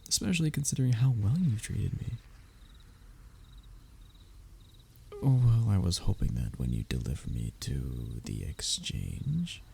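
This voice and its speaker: A man's voice: sits low, creaky, warm tone, slight background noise, heavily acted. A man playing a young royal heir in a roleplay audio drama, formal and pompous in his wording but often faltering into nervous stammers as he addresses the listener. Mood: earnest, pleading.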